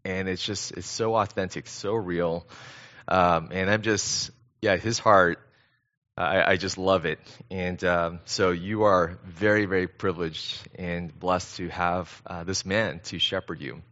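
Casting: middle-aged American man, guest preacher, earnest and halting, lowish pitch with a forceful, bright edge. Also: fairly even intonation, muffled recording. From born in Korea, grew up in Southern California. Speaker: guest pastor. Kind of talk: conversational sermon.

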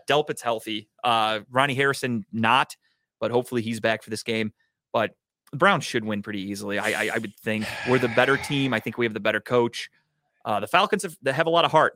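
A man with an American accent hosts a casual sports talk show. His delivery is blunt and sweary, at a medium pitch, in a dry recording with very little room sound. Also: bright, forceful tone, dry recording. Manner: blunt, profane. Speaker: show host.

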